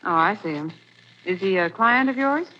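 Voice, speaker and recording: A woman's voice: medium pitch, lively intonation, moderate pace, lightly acted. An actress playing a curious, inquisitive young woman companion who keeps asking questions in a 1940s radio drama. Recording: dull 1940s radio recording, faint hiss.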